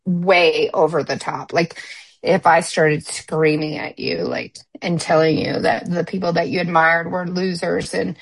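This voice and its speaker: A woman's voice: on the low side, flat delivery, a little slow, husky, no room sound, low-quality recording. Blunt, emphatic woman on a dry mic. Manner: blunt, emphatic, restarts mid-sentence. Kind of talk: candid conversational chat.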